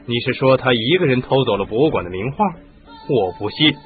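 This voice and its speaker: A man's voice: mid-range voice, expressive, brisk, faint music behind, natural voice. An actor playing a firm, skeptical male police inspector in a radio drama. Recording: muffled phone-like radio recording.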